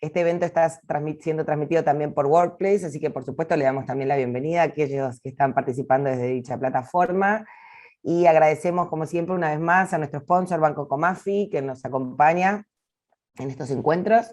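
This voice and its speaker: Low-voiced woman hosting an online event. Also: steady tone, brisk, darkish tone. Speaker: event host introducing the speaker. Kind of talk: formal welcome at an online event.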